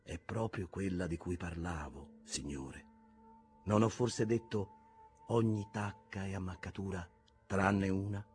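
A man doing a weary old blacksmith, higher-voiced. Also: takes it slowly, darkish tone, soft background music. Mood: humble.

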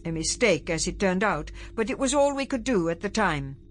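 A woman's voice: mid-range voice, expressive, normal speed, voice pushed up, close to an everyday voice. A weary, formal senior official, acted by a woman narrator in an audiobook. He speaks carefully and precisely with a slight foreign accent, over faint music. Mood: businesslike.

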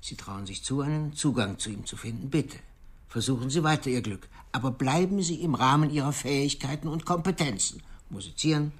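A man's voice: mid-range voice, a little fast, husky, close to an everyday voice. A man playing a condescending senior psychiatrist in a radio drama, formal and patronising. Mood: stern. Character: condescending male senior psychiatrist, sceptical and authoritative.

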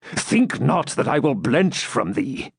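A man's voice: mid-range voice, very animated, slow pace, gravelly, breathy edge, no room sound, clean studio sound, full character voice. A man doing a growling, defiant dying baron. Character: dying savage old baron, stubborn and fierce.

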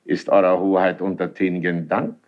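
An actor playing a proud, calculating duke in a classical radio play, formal and smoothly deferential. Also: male voice, sits low, little pitch movement, moderate pace, lightly acted. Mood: politely needling. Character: proud, courtly, calculating Spanish duke, seasoned general. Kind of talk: classical German radio play stage acting. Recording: dull 1970s radio drama recording.

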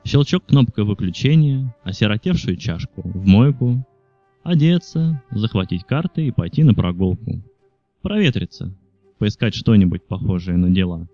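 A man's voice: on the low side, animated, normal speed, no echo, highs cut off, soft background music. A man reading literary fiction aloud as a scripted audiobook narration. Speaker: audiobook reader.

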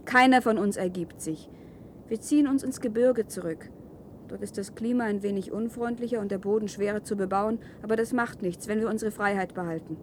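An actress playing a calm, earnest woman living free in a wasteland, in a 1970s German radio play. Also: mid-range voice, brisk, a little hiss or hum, lightly acted. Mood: quietly defiant.

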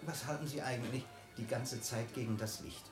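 An actor playing a loyal, guarded private secretary in a radio drama, laconic, measured and correct. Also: male voice, medium pitch, music in the background, natural voice. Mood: reserved. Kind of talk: German radio drama acting.

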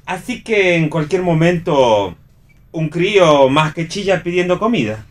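A man's voice: a little high, expressive, measured pace. A man with an Argentine accent playing a contrary, sardonic, restless character in a read radio play. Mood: provocative. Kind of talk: read radio theatre dialogue.

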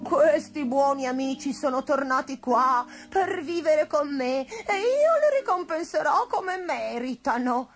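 A woman voicing a lonely old witch from a children's storybook, tearful and pleading, with a wailing, drawn-out, emotional delivery. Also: high-pitched, animated, voice pushed up. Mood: grateful. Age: older.

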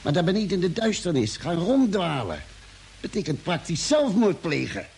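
An actor playing a cautious, sceptical doctor on a space expedition, reasoning and worried, in a vintage radio drama. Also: male voice, high-pitched, big pitch swings, moderate pace, creaky, darkish tone, husky, heavily acted. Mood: worried, cautioning. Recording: faintly noisy vintage radio recording.

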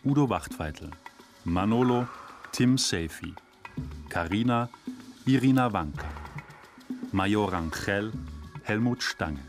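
A man with a low voice announces a radio drama. He reads scripted credits, with music clearly heard behind him. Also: lively intonation, slow pace, pauses often.

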